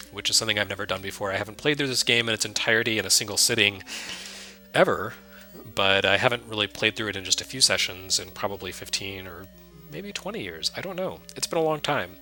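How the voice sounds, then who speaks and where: A soft-spoken man giving loose, self-deprecating commentary while streaming a retro game, full of fillers and restarts. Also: on the low side. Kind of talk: casual live-stream commentary. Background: game music under the voice.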